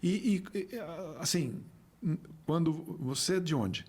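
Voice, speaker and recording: A Brazilian man hosting a casual podcast interview. He keeps the talk moving with quick, encouraging affirmations and a relaxed, chatty manner. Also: on the high side, breathy edge. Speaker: podcast host.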